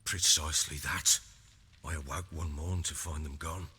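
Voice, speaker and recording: An actor playing a blustering middle-aged warlord baron in a video game, with a gruff, husky voice and a Northern English accent. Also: male voice, rumbling low, steady tone, moderate pace, bright, forceful tone, a little hiss or hum, full character voice. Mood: grave. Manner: blustering, emphatic. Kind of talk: video game character acting. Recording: hall reverb, music underneath.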